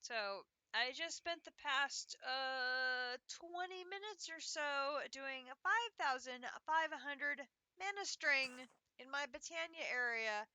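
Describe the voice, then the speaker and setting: Congested woman streamer with a bright voice. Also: sits high, lively intonation, takes it slowly, no echo. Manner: chatty, walks viewers through her progress. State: congested from allergies. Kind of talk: casual live game commentary.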